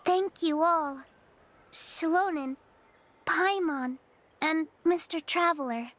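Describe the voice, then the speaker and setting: A timid young girl in a video game, soft-spoken and hesitant, often stammering. Her voice sits very high and she speaks slowly. She sounds unsure of herself. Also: exaggerated voice. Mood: shy.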